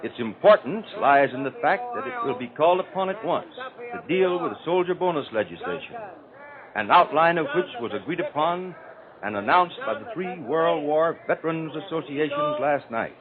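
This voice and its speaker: Tinny, narrow old radio sound with a crowd murmuring behind. A man with a slightly high voice delivers formal 1930s news commentary in long, measured sentences. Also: animated.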